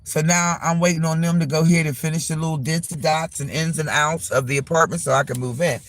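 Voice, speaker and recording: A man vlogging casually from his car, hoarse and losing his voice from a chest cold, talking in a rambling, profane, frank way. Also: a little high, fairly even intonation, quick pace, a bit bright, low-quality recording. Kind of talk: casual vlog monologue. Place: parked car.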